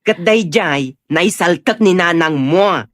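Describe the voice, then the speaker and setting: A woman's voice: on the low side, slow pace, no room sound. A woman playing an exasperated village peacemaker who admonishes people emphatically, in a comedic audio drama. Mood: concerned. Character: scolding village woman, exasperated peacemaker among quarrelling elders. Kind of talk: comedic Ilocano radio-style drama acting.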